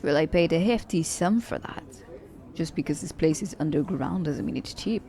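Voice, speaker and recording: A woman playing a confident, selfish young assassin in an ASMR romance roleplay, speaking in a teasing, halting manner. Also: low-pitched, dark tone. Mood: teasing, relaxed.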